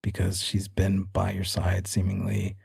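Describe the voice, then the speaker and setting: Low-voiced man narrating a horror game. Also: completely flat delivery, unhurried, creaky, a little mellow, husky, no room sound, studio quality. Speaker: game master and show host.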